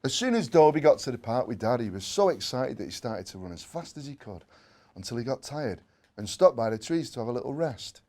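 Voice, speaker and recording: Male story reader, mid-pitched, highly varied intonation, expressive delivery with playful asides. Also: brisk. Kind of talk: animated children's storytelling.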